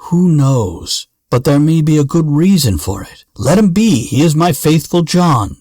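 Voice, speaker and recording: Man playing an impassioned young storybook king, raised pitch. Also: very animated, a little slow, dark tone, no room sound, studio quality, full character voice. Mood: firm, protective. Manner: emotional, exclamatory. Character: young king, passionate, loyal, grief-stricken.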